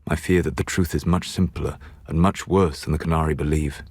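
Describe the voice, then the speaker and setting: An actor playing a composed, proud elf mage in a video game, speaking with measured, precise, dryly sardonic delivery. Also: male voice, a bass voice, fairly even intonation, darkish tone, slightly rough, no room sound, studio quality. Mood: grave, solemn. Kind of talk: video game character dialogue.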